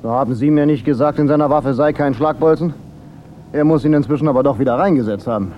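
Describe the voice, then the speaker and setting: A man's voice: medium pitch, quick pace, dull audio, a little hiss or hum, natural voice. Man acting a dry, sardonic private eye. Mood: cool under pressure. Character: wry, unflappable male private detective. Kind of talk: German radio crime drama acting.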